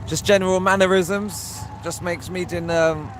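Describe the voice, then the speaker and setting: A man with a fairly high voice gives laid-back, chatty commentary at a race track. Loud engine and traffic noise fills the outdoor phone recording. He reads out comments as he goes. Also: fairly even intonation, measured pace. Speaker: vlogger commenting on practice session. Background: race cars passing.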